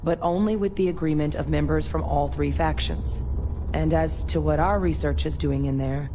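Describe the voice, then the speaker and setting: An actress playing an earnest former military scientist in a sci-fi video game, speaking in a measured, explanatory way. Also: female voice, sits low, steady tone, background noise, lightly acted. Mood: serious, explanatory. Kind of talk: video game dialogue acting.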